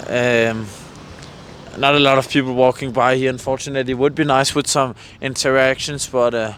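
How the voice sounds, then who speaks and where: A man's voice: medium pitch, little pitch movement, moderate pace, fairly bright tone. A man chatting casually as a vlogger, rambling a bit hesitantly and putting questions to passers-by outdoors. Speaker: vlogger, street interviewer. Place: outdoors by a road in a mountain valley. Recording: windy, noisy outdoor roadside.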